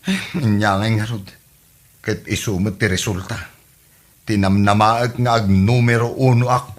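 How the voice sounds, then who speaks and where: A man's voice: on the low side, fairly even intonation, a little slow, lightly acted. A man acting a dejected, worried husband who lost an election, in a lamenting comedy radio drama style.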